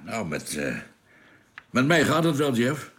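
A man's voice: mid-range voice, very animated, measured pace, a bit bright. An actor playing a hesitant, questioning spaceship crewman in a science fiction radio drama. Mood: shaken. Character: low-voiced, questioning male spaceship crewman.

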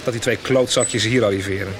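An actor playing a bossy, coarse, menacing pursuer in a radio soap, with a curt delivery. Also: male voice, fairly low pitch, steady tone, fairly quick, noisy background, lightly acted. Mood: menacing, irritated.

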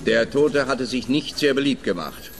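An actor playing a pompous police officer in a radio drama, who talks down to the detective with self-important condescension. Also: male voice, medium pitch, expressive, moderate pace, music in the background, close to an everyday voice. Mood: smug. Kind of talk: radio play acting.

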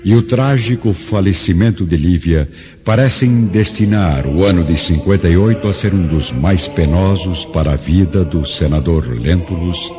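A man with a Brazilian accent giving solemn, expressive scripted narration for a radio drama. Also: sits low, moderate pace, breathy edge. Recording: dull lo-fi with faint music.

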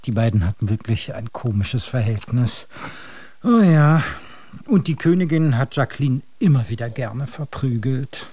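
A man's voice: medium pitch, lively intonation, moderate pace, warm tone, husky. A man narrating a radio drama in a scripted storybook style.

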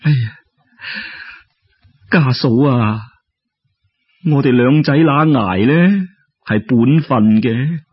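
A man's voice: medium pitch, slow pace, long pauses, breathy edge, dull audio. Man doing a fretful, kindly Cantonese mother-in-law. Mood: sorrowful concern. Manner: sighing, fussing, sympathetic.

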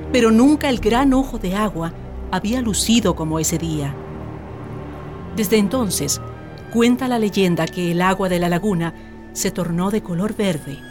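A woman with a Chilean accent narrating a radio drama as a scripted legend, solemn and dramatic. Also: mid-range voice. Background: music.

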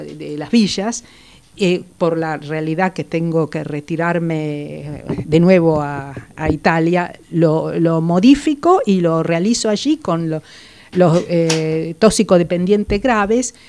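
An older woman speaks in a fairly low voice as an interview guest. She thinks aloud and pauses often. Her words are measured and full of small hesitations. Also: a little mellow. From Italy. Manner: reflective, hesitant with frequent fillers. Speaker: psychologist guest. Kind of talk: interview, personal recollection.

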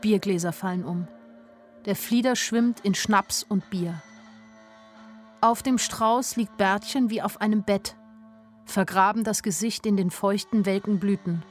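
A woman's voice: medium pitch, measured pace, faint music behind, lightly acted. An actress narrating a radio drama as a watchful young woman, matter-of-fact and observant. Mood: tense, detached. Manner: matter-of-fact, observant, short plain sentences.

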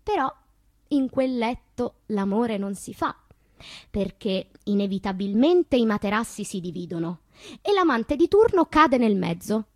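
An actress playing a sensual, unashamed woman poet in a deliberate, intimate theatrical monologue about her inner life. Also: medium pitch, dry recording, clean studio sound, close to an everyday voice. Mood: wry, playful. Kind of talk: theatrical literary monologue with sung passages.

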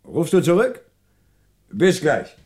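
An actor playing a shrewd, teasing police inspector in a German radio crime drama, brisk and commanding. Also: male voice, a little high, wildly swooping pitch, a little mellow, slight background noise, heavily acted. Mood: brisk, businesslike.

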